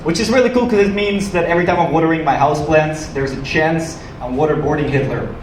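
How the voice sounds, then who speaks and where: A man from Ukraine performing stand-up comedy to a live audience with a dry, joking delivery and hesitant pauses. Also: on the high side. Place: small comedy club stage, live audience. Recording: roomy, noisy club recording from a distance.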